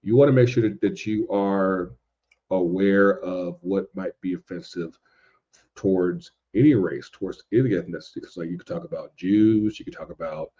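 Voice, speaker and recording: A man in a casual podcast discussion, speaking haltingly and circling back over his points as a guest commentator. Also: deep voice, dark tone. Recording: dull, lo-fi voice track.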